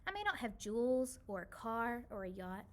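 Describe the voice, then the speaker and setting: Woman as a kindly, gentle old storybook lady. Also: fairly high pitch, very animated, normal speed. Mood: content. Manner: gentle, soothing, reminiscing. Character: kindly, lonely old woman sheltering a stray cat. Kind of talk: children's storybook read-aloud.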